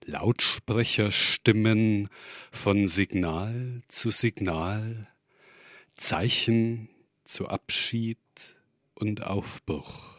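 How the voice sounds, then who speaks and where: Slow, low-voiced man reading poetry. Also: a bit bright, dry recording, clean studio sound. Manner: measured delivery in short, separated phrases. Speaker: poet reading own work.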